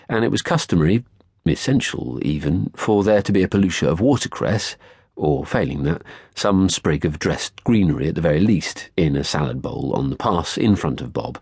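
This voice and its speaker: A man reading scripted first-person literary fiction as an audiobook narrator, measured, articulate and wry. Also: deep voice, creaky, darkish tone, husky, no echo, clean studio sound.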